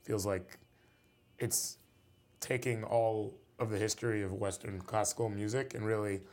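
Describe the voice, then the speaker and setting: Relaxed low-voiced man musician. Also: moderate pace. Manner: relaxed, rambling with many fillers. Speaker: musician guest. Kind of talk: casual interview talk.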